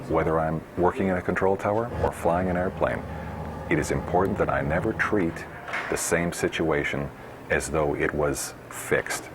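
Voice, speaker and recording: A man with an extremely deep voice gives advice in an interview. He speaks in short, plain, emphatic statements, with clear ambient noise around him. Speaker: air traffic controller and pilot. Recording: noisy background ambience.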